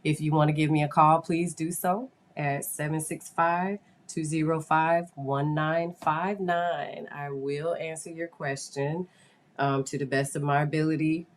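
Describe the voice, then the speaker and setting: Low-voiced congested nasal woman. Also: steady tone, unhurried, slight background noise. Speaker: podcast host, school psychologist. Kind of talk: casual live podcast chat.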